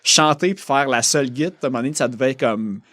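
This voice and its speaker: A man's voice: medium pitch, quick pace, a bit bright, dry recording. A man with a Quebec French accent hosting a casual podcast interview, chatty and eager, often talking over his guest. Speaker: podcast host.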